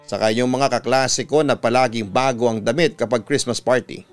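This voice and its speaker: A man with a Filipino accent narrating a scripted story as a radio announcer, measured and clearly enunciated. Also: mid-range voice. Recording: music bed under the voice. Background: music.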